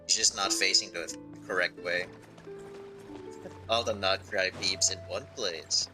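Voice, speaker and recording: A man's voice: sits low, fairly even intonation, a little slow. A man streaming a game, talking casually as he thinks aloud, reads out on-screen text and asks himself questions. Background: music.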